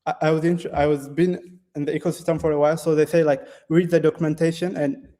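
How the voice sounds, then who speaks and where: Young Ethiopian man, guest dev, calls in from a classroom; mellow, slightly high, flat, hesitant delivery. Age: 20s. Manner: halting, many fillers and restarts, non-native phrasing. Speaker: guest developer. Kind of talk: casual interview answers.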